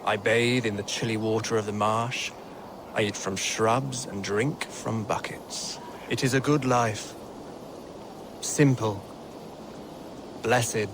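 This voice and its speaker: An actor playing a humble, contented hermit in a video game, musing serenely and slowly. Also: male voice, lowish voice, steady tone. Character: humble, contented hermit living simply in a marsh. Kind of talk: dramatic video game acting. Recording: game audio with clear ambient noise. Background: ambient noise.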